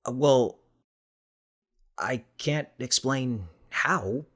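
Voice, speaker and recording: A man's voice: medium pitch, extremely slow, fairly bright tone. A man playing a skeptical, easily exasperated eighteen-year-old boy in an audiobook, sardonic and defensive, hesitant when cornered.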